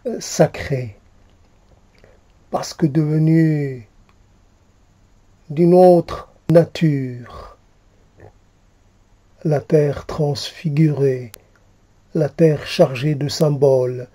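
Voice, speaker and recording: A man reciting poetry in a solemn, halting manner, repeating phrases as he goes. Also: fairly high pitch, mellow, no room sound. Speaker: poet reciting own poem.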